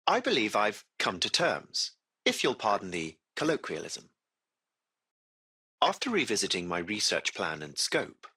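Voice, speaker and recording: An actor playing a polite artificial-intelligence car driver in a video game, with formal, courteous, hesitant phrasing and an anxious streak. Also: male voice, lowish voice, sharp tone, husky, heavily acted. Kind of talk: acted video game dialogue.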